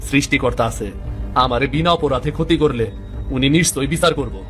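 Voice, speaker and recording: A man voicing a humble, kind-hearted, hardworking village eatery owner in an earnest, gentle cartoon style. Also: mid-range voice, a little fast, faint music behind, natural voice.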